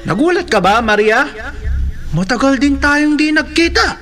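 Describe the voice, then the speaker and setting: Brave, high-voiced boy Mark. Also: lively intonation, normal speed, a bit bright. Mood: bold. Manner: assured, earnest, instructing. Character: brave, knowing youth Mark, protective guide against spirits. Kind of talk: horror radio drama acting.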